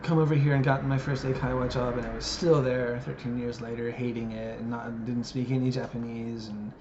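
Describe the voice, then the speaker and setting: Man, late thirties, reflective vlogger, hesitant fillers, dull lo-fi sound with steady background noise. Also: medium pitch, fairly quick. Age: 30s. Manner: musing, hesitant, many fillers. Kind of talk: reflective personal monologue. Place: living in Japan.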